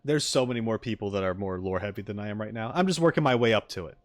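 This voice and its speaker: A man streaming casually as he reacts to game videos, rambling and joking in an animated way. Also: on the low side, fast, no echo. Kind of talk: casual livestream chat.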